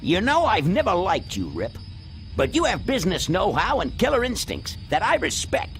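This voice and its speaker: Feisty old male founder, game. Creaky voice, very animated, blunt, scornful, folksy. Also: high voice, moderate pace, faint music behind, exaggerated voice. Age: older. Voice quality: creaky, gravelly. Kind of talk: video game voice acting.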